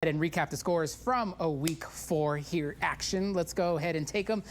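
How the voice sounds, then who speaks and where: A man anchoring a local TV sports show, hoarse and losing his voice, reading scores quickly. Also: a little high, a little hiss or hum.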